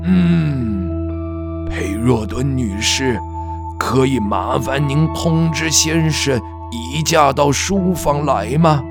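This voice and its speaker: A woman playing an older, courteous doctor in an audio drama, speaking in a grave, formal and deliberate manner. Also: a bass voice, lively intonation, music in the background, full character voice.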